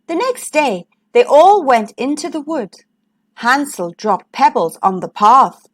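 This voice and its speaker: High, lively, unhurried woman storyteller. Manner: expressive, deliberate storytelling. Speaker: children's storyteller, channel host. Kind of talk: read-aloud storybook narration for young children.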